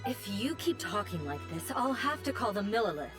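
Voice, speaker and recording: A woman's voice: mid-range voice, big pitch swings, moderate pace, close to an everyday voice. An actress playing a polite but wary townswoman, apologetic yet firm. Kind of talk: video game voice acting. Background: game music.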